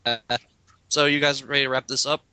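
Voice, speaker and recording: A man's voice: medium pitch, flat delivery, fast, sharp tone, clean tone, no echo, soft background music. A man hosting a casual group critique chat for an online video. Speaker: video host.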